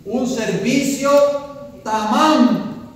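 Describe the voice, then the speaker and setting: A man preaches a sermon, his voice ringing through a large hall with long reverb. He sits high in pitch and heard from a distance, swelling to emphatic peaks and quizzing his listeners. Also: very slow, fairly bright tone. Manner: preaching with rising emphasis, asks the congregation questions. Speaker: pastor. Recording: echoey hall, voice from far off.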